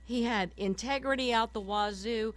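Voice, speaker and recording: Hoarse, cynical woman ranting on talk radio. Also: on the high side, normal speed. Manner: animated, cynical ranting, laughs often mid-sentence. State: hoarse from a summer cold. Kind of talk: political talk radio chat.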